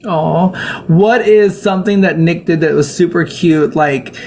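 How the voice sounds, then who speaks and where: A woman's voice: lowish voice, measured pace, darkish tone, a little hiss or hum. A woman hosting a casual live chat who asks long, rambling questions and talks encouragingly to her guest. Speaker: host of a live panel.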